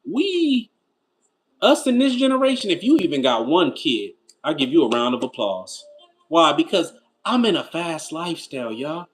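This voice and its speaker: Man, 30s, livestream host: casual and slangy, reads chat, voice jumps in pitch. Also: very animated, soft background music. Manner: loose and slangy, with rhetorical why-questions and quick pitch jumps. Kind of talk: casual livestream chat, reading viewer comments.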